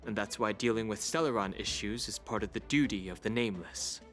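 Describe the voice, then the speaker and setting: A man's voice: on the low side, brisk, a bit bright, close to an everyday voice. An actor playing a composed male traveler in a game dub, polite, articulate and tactfully firm. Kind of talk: dubbed video game dialogue acting. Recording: music behind the voice.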